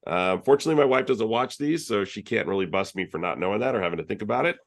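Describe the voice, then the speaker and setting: A man's voice: fairly low pitch, lively intonation, fast. A man hosting an online user group meeting, chatting casually through announcements with many fillers and restarts over a video call.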